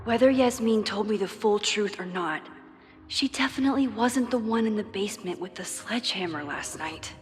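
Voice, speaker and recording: An earnest young woman played by a game actress, determined and inward-looking as she works out a mystery. Her medium-pitched voice carries a long reverb, with music underneath. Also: moderate pace, close to an everyday voice. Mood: brooding, resolved. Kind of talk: video game voice acting.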